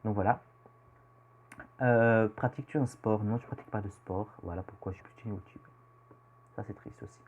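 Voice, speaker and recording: Teen boy, Belgian French accent, hesitant rambling vlogger, lowish voice, dull home-camera sound. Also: fairly even intonation, normal speed, pauses often. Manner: rambling, hesitant with many fillers. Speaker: youtuber. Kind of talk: casual self-introduction vlog.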